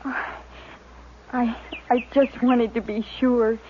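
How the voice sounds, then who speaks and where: Anxious American farm girl, high pleading voice, slow and hesitant, 1950s radio western through a thin, phone-like band. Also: steady tone. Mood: timid, pleading. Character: anxious, timid frontier daughter, young and devoted. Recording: tinny, hissy old radio recording.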